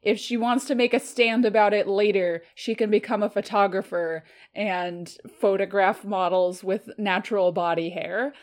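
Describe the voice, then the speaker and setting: Woman, podcast co-host, casual, chatty, relaxed delivery. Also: mid-range voice, normal speed, hardly any pauses. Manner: chatty, reads listener comments aloud. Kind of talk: casual chat.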